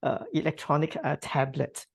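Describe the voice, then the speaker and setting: A woman from Singapore giving thoughtful interview answers, with many pauses and tag questions. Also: deep voice, level tone, vocal fry, breathy edge. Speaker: podcast guest.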